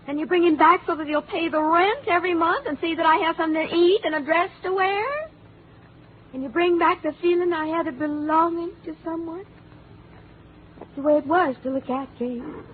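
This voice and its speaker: An actress playing a bitter, paranoid, frightened widow with overwrought, melodramatic delivery, in 1950s radio drama style. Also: female voice, very high-pitched, a little fast. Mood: bitter, pleading. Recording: tinny, noisy 1950s radio broadcast.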